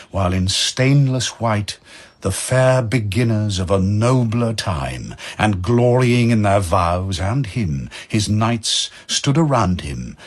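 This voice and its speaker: A man giving solemn, measured dramatic narration of verse as a storyteller. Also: fairly low pitch, husky.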